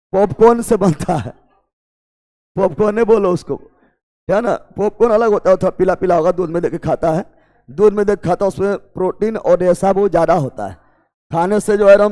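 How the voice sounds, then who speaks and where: A man teaching an exam coaching class, drilling students with repeated questions and urging them to answer fast. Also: sits high, big-room sound. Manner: loud, emphatic, urging students to hurry.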